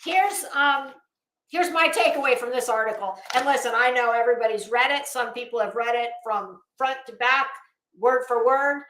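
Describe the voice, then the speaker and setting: A woman's voice: a little high, moderate pace, fairly bright tone, echoey room, slight background noise, poor audio. A woman hosting an opinionated livestream, speaking to viewers in a blunt, scornful, emphatic manner and repeating phrases for weight. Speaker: livestream host.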